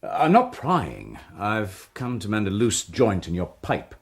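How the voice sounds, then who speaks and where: A man's voice: lowish voice, wildly swooping pitch, takes it slowly, heavily acted. An actor playing an easygoing Englishman who talks in a dry, teasing, offhand way. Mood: wry. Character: wry, easygoing Englishman, a man. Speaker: local Englishman, odd-job helper.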